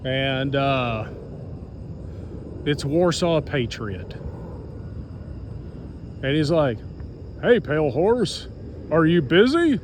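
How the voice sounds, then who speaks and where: American male storyteller, voice a bit high, blunt and informal delivery, light background noise. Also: expressive, drawn-out words, pauses often. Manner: blunt, sarcastic, plain-spoken. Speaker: storyteller recounting own experience to followers. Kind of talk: casual spoken storytelling.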